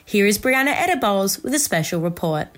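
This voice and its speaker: A woman's voice: medium pitch, a little fast. A woman presenting the sport segment of a news bulletin, introducing reports. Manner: lively scripted delivery.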